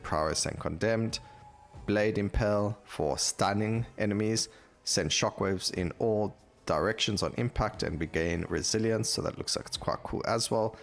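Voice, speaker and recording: A deep-voiced man hosts a gameplay video in a casual way. His voice has a lot of creak and fry in it. He thinks aloud as he reads through stats and details on the screen. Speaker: gaming YouTuber host.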